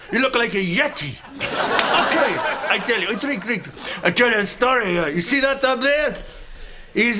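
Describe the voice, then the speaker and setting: An actor playing a boisterous immigrant father who speaks broken English, laughing and bursting into shouts. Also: very high voice, heavy background noise. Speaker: sketch comedy performer. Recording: studio audience laughter and music.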